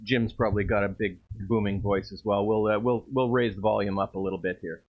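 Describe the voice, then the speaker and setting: Man, booming voice, baseball play-by-play, upbeat calls, dull lo-fi stream sound. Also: steady tone, a little fast. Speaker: play-by-play commentator. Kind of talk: baseball commentary.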